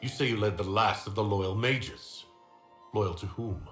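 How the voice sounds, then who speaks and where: In an echoey room over faint music, the composed hero of a fantasy game. His voice is on the low side, and he speaks in a formal, polite, direct manner. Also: a little slow, fairly bright tone. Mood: probing. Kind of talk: video game voice acting.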